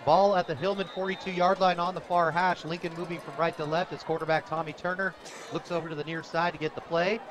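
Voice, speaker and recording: A man calling live football play-by-play on the radio in an energetic, excitable way. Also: a little high, quick pace. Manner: energetic, rises to shouts on big plays. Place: outdoor high school football stadium broadcast position. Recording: loud crowd and noisy outdoor stadium. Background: crowd noise.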